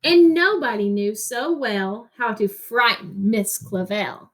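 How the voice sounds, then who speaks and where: High-voiced woman reading to children. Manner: addresses young listeners, pauses for them to answer. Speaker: teacher reading a picture book aloud. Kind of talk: expressive children's read-aloud with teaching asides.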